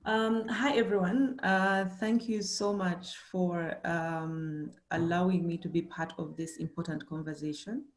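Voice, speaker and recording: A woman's voice: on the low side, steady tone, measured pace, few pauses. A middle-aged woman giving a webinar panel talk, thoughtful and hesitant, with many fillers and restarts. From Uganda. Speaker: panelist, company managing director.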